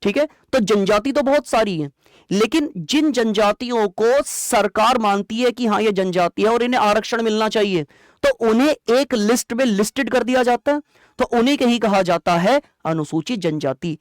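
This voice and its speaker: A teacher delivers an exam-prep lecture in a conversational, explanatory way at a medium pitch. Questions are posed and then answered, with key points repeated for understanding. The sound is dry, with very little room echo. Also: normal speed. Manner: asks rhetorical questions and answers them.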